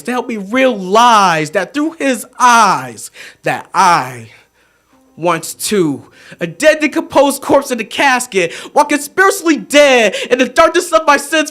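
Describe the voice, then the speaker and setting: A young man with a strikingly high voice performs spoken word poetry. His delivery is theatrical and impassioned, leaning hard on key phrases in the manner of a dramatic faith testimony. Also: fairly bright tone. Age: 20s.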